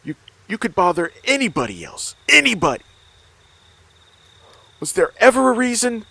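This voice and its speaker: An actor playing a weary, bitter old father haunted by a cursed mask in a horror audio drama, with anguished, halting delivery. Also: high-pitched, big pitch swings, vocal fry, sharp tone, breathy edge, no room sound, full character voice. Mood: grieving, furious. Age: older.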